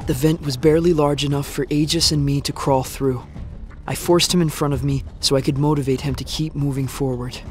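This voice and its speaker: Guy acting as young anxious apocalypse survivor w/ wolf buddy, podcast drama, slightly high voice, music under it. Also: steady tone, brisk, close to an everyday voice. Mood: grave, reflective. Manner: breathless muttering to himself, reflective when narrating. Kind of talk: audio drama acting, first-person narration.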